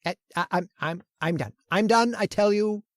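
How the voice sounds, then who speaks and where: A woman's voice: mid-range voice, moderate pace, no room sound, clean studio sound, natural voice. A woman voicing a manic, arrogant male scientist in a radio horror play, bullying his assistant and gloating in frantic outbursts. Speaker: voice actor. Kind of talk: old-time radio drama acting.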